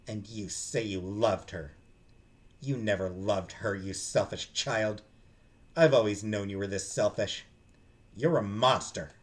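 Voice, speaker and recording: A man's voice: on the low side, unhurried, close to an everyday voice. A man voicing a furious, accusing ghost of a mother inside a girl's head, for a visual novel read-aloud. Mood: bitter accusing. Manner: accusing, shouting and hissing.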